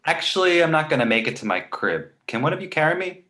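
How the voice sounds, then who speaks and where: A man voicing a precocious, manipulative baby boy with a smart-alecky comic delivery in an animated comedy read. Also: on the high side, quick pace. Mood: cheeky, pleading. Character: precocious, wisecracking, manipulative two-month-old baby boy.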